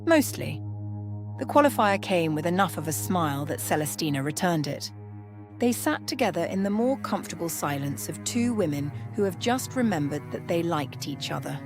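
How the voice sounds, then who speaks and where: A woman reading scripted story narration in a measured, literary way. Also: lowish voice, animated. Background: music.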